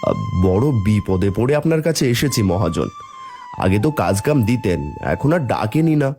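A man plays a poor, sorrowful cartoon villager and father. His low, dark-toned voice is humble and pleading, deferring to others. Faint music sits underneath. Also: very animated, moderate pace. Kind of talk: Bengali children's cartoon voice acting.

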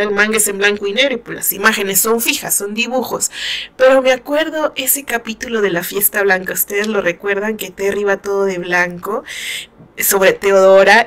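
A woman's voice: mid-range voice, a bit bright. A middle-aged woman, chatting casually about a novel she reads aloud, laughing often and using many fillers. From Mexico. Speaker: book reviewer. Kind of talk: casual chat, reading and commenting on a novel.

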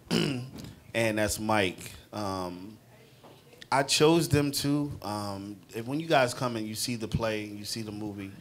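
A man, the producer of a stage play, describing his cast in a laid-back way. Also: on the low side. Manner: laid-back, many fillers. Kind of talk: casual talk.

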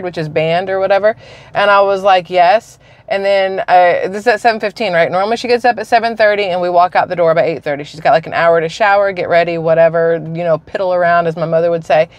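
A woman in her forties vlogging from inside her parked car, telling a rambling, candid story in casual chat. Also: fairly low pitch. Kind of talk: casual chatty vlog. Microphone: camera mounted on the dashboard.

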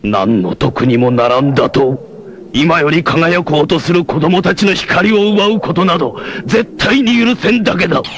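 A man's voice: fairly high pitch, unhurried, background noise, natural voice. A man voicing a noble, self-sacrificing blind warrior leader in a 1980s anime, with a solemn, formal, declamatory delivery. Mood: resolute, passionate. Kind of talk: 1980s anime martial-arts voice acting.

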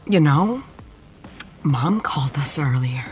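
An actress playing a lonely, guarded young vampire hunter in an audio drama. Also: female voice, low-pitched, expressive, takes it slowly, a little mellow, soft background music. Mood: sad, hesitant.

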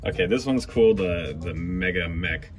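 A man's voice: deep voice, normal speed, music in the background. A young man with a Midwestern American accent, chatting enthusiastically as a vlog co-host while browsing a toy store, filmed on a handheld phone. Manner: chatty, enthusiastic, laughs often. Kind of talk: casual shopping vlog chat.

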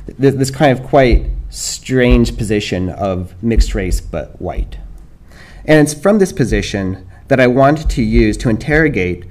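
A middle-aged American man giving a measured academic talk at a lectern, reading from notes with small restarts. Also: mid-range voice, expressive, a little mellow. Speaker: panel speaker. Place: dark lecture hall, standing at a lectern. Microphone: lectern microphone.

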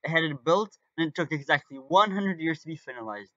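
A young man in his teens presents an amateur documentary in Portuguese-accented English. His voice sits a little high for a man. The recording is dry, with hardly any room in it. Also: lively intonation, brisk, a bit bright, no echo, muffled recording. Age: teenager. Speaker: student presenter and guide.

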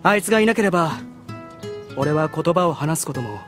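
A man playing a brash, excitable teenage swordsman in an anime audio drama, blunt and boyish in his speech. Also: on the high side, a little fast, music in the background, natural voice. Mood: reflective.

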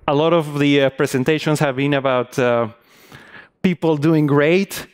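A man's voice: a little high, steady tone, moderate pace, clean tone. A man in his thirties from Mexico with a Mexican Spanish accent, giving a conference talk on a large stage with many hesitant fillers. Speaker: conference speaker.

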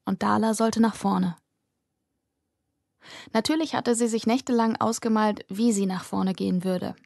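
A woman narrates a fantasy audiobook in a mid-pitched voice. The reading is scripted storytelling, and the recording is very dry with almost no room sound. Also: steady tone, unhurried, vocal fry, a little mellow, no room sound. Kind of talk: scripted fiction narration, present tense.

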